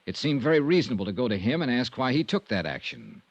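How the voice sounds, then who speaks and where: An actor playing a persistent, dry American insurance investigator in a 1950s radio detective drama, asking clipped, businesslike questions. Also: male voice, mid-range voice, very animated, fast talker, dry recording. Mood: measured. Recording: dull, dry vintage radio recording.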